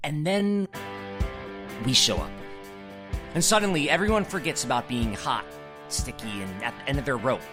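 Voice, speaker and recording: A man's voice: medium pitch, animated, moderate pace, pauses often, music in the background, natural voice. A man playing a harried theater director, defensive yet a rousing showman, in an audio drama.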